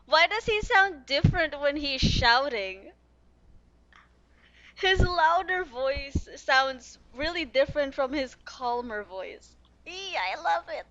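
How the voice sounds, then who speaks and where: A woman's voice: high-pitched, expressive, slow, a bit bright. A woman game streamer giving casual reactions and reading dialogue aloud as she plays. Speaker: gaming streamer. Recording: slightly dull mic, faint game music.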